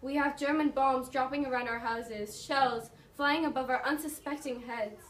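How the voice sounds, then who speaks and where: Proud English mother turned postwoman acted by a woman, recital delivery, higher voice, distant mic. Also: steady tone, normal speed, natural voice. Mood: reflective, indignant. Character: thoughtful, proud mother working as wartime postwoman. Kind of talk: dramatic slam poetry recital. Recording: noisy, echoey room, mic far off.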